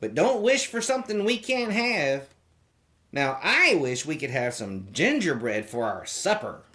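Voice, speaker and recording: A storybook reader voicing a mild, practical little old man in a gently matter-of-fact way. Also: mid-range voice, sing-song, a little slow, voice pushed down. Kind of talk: storybook character voice.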